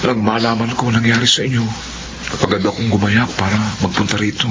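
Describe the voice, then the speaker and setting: A man's voice: fairly low pitch, fairly even intonation, fast talker, natural voice. An actor in a Filipino radio drama playing a kind, helpful young man, earnest, respectful and reassuring. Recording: muffled radio audio over loud noise.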